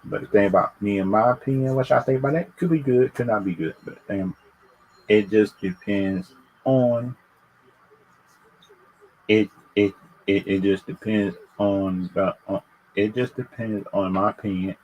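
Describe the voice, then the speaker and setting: A man's voice: on the low side, darkish tone, poor audio. A man chatting casually and unscripted to viewers as a livestream host, stammering and repeating his phrases as he gives opinions. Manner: halting, repetitive, stammering over phrases.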